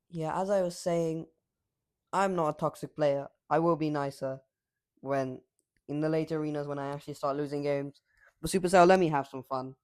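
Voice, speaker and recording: A man giving casual, rambling commentary over his mobile gameplay, cocky and trash-talking, his voice slightly raspy from filming late at night. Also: on the high side, darkish tone.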